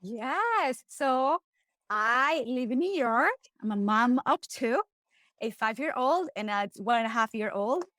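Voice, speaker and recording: A woman's voice: fairly high pitch, expressive, a bit bright, no room sound, studio quality. Friendly Colombian-accented woman guest. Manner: friendly, quick, laughs easily. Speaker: marketing agency owner. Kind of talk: casual interview.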